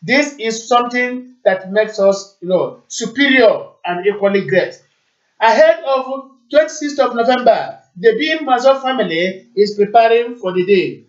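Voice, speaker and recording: Male Igbo Nigerian talk host, high voice, formal announcing delivery, heard through a distant, muffled stream. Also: lively intonation, unhurried. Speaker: radio call-in show host. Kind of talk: live broadcast announcing and answering callers. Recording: echoey, distant, muffled internet radio stream.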